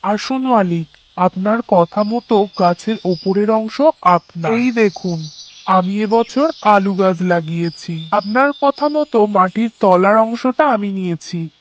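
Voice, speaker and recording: A woman voicing a clever, polite young farmer's son in a moral-story cartoon, calm and confident. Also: medium pitch, a little slow, muffled recording, lightly acted.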